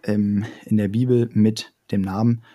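A man's voice: lowish voice, little pitch movement, measured pace, dark tone. A young man in casual chat, explaining ideas step by step with frequent fillers and restarts.

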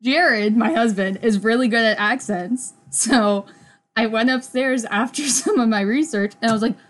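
A woman's voice: on the high side, normal speed. A young woman co-hosting a casual podcast, chatty and giggly, reading history from her notes with lots of "like". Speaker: podcast co-host. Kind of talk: casual chat.

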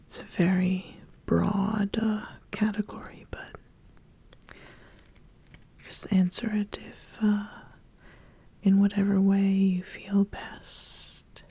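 A woman voicing an ambitious witch who calmly sizes up an apprentice in a soft-spoken, halting, deliberate ASMR roleplay. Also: mid-range voice, creaky, breathy edge, exaggerated voice. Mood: calm, probing.

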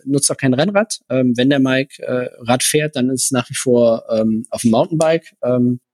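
A man's voice: medium pitch, moderate pace, a little mellow, no echo. A man who co-hosts a podcast and coaches running, explaining things at length with many hesitations. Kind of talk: casual chat.

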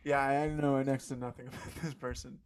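A man's voice: mid-range voice, level tone, fairly quick. A man in a casual podcast chat who talks in a dry, teasing way.

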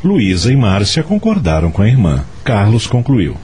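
A man's voice: fairly low pitch, huge pitch swings, normal speed, a little mellow, slight background noise. A man narrating a radio soap opera in a scripted, dramatic storytelling style. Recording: music under the voice. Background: background music.

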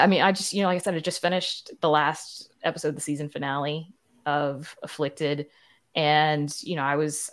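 A woman with a low-pitched voice talks as a guest in a casual conversation. She is frank and self-deprecating about herself, and peppers her sentences with "you know.". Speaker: podcast guest, writer and producer.

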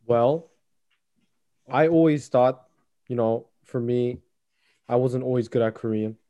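A young man with a mellow, darker voice talks through his thoughts in relaxed conversation. He hesitates often, restarting sentences and leaning on "you know". His pitch sits in the middle range for a man. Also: measured pace, lo-fi sound. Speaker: friend in a group chat. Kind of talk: casual chat.

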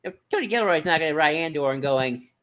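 A man's voice: fairly high pitch, animated, fast, a bit bright. A man co-hosting a podcast in casual discussion, rambling and hesitant, often circling back over his phrases. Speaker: podcast co-host.

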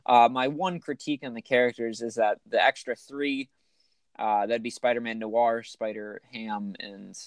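A man with a raspy voice, talking loosely and enthusiastically as a podcast co-host. His pitch sits in an ordinary middle range. The recording is dry and close, with no room sound. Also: fairly even intonation, normal speed, no echo. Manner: enthusiastic, joking, rambling. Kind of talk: casual chat.

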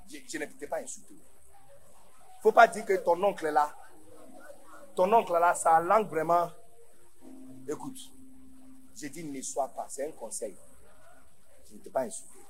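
A man from Ghana with English as his first language, preaching a sermon in French to a large conference, going from quiet asides to shouted emphasis. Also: on the high side, rough recording. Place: large church conference hall.

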